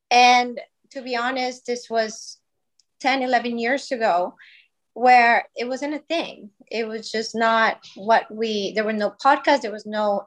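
Woman, thirties, slightly high voice, candid, informal personal storytelling, podcast guest. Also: low-quality recording. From Colombia. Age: 30s. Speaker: podcast guest, wellness business founder.

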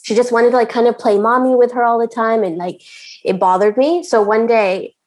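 A woman's voice: on the high side, steady tone, a little fast, a little mellow. A woman from Canada chatting as a podcast guest, laughing often and restarting her phrases as she talks. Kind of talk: casual chat.

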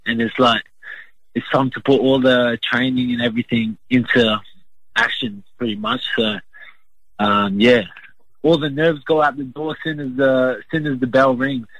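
A young man with an Australian accent giving a sports interview as a boxer in a halting, plain-spoken way. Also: medium pitch, fairly even intonation, a bit bright, dry recording, low-quality recording. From Brisbane, Queensland, Australia.

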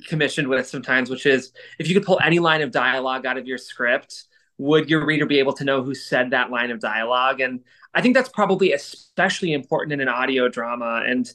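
A man's voice: mid-range voice, a little fast, pushed and bright. A man co-hosting a podcast discussion, talking in a thoughtful, articulate, explanatory way. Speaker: podcast co-host.

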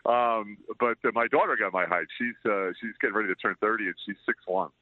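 An older man chatting as an interview guest, loud-voiced, rambling and self-deprecating. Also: on the low side, steady tone, fast talker, no room sound. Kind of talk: casual interview chat. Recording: tinny dry phone line.

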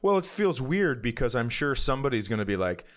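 A man's voice: mid-range voice, very animated, brisk. A man co-hosting a comedy podcast in casual, teasing banter, joking and swearing freely.

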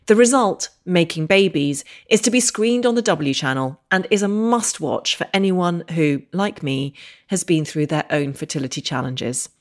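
A woman hosts a podcast with a slightly low-pitched voice. She speaks in a measured, articulate way, earnest as she introduces and questions her guest. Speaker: podcast host. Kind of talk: scripted introduction then interview.

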